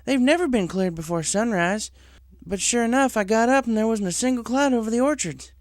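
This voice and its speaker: A man's voice: very high voice, a little fast, no echo, studio quality, pitched above the performer's own voice. A man voicing a friendly, easily surprised female farm pony in a story reading. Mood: amazed.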